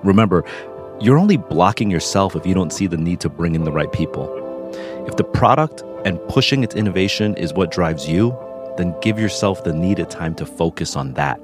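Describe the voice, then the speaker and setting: Male podcast host, low voice, steady instructive read, music bed underneath. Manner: measured, instructive narration. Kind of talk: scripted narration and casual interview.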